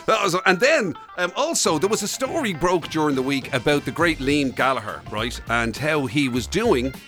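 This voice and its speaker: A man's voice: on the high side, expressive, normal speed, a bit bright, soft background music. An Irish man co-hosting a radio show with casual, wry, mock-serious banter. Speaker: radio show and podcast co-host. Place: radio studio.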